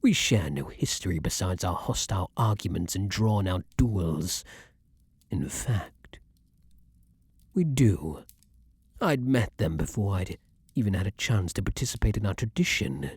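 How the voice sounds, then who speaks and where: A man playing a centuries-old vampire king, haughty yet secretly romantic, speaking in formal, archaic phrasing with dramatic pauses in an intimate ASMR roleplay. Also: low-pitched, animated, a little mellow, slightly rough, dry recording, clean studio sound, full character voice. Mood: guarded, hostile.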